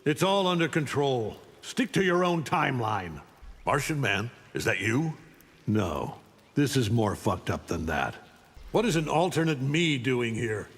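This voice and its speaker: Middle-aged superhuman tyrant for a fighting game: condescending, menacing, ringing in a big reverberant space. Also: male voice, medium pitch, very animated, unhurried, breathy edge, full character voice. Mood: cold, domineering. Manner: imperious, threatening, condescending. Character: arrogant, menacing middle-aged alien superhuman conqueror in a cape. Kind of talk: video game fight-intro dialogue. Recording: long hall-like reverb.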